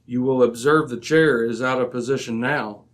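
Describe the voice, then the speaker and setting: An actor as a brilliant, impatient male detective in a radio mystery. His voice is mid-pitched and authoritative. He lays out his reasoning crisply, like a man used to being obeyed. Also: steady tone, normal speed, lightly acted. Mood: assured, deductive. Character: commanding, razor-sharp, impatient male detective. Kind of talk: old-time radio drama acting.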